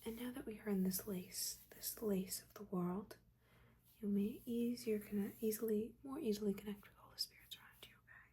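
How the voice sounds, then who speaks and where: A woman's voice: medium pitch, fairly even intonation, mellow. Whispering woman as a stern witch mentor. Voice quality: breathy, mostly whispered. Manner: scolding, halting, dryly exasperated. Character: stern, disappointed witch mentor, nagging but secretly caring. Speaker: ASMR roleplay performer. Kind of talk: soft-spoken, whispered ASMR roleplay. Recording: audible background ambience noise.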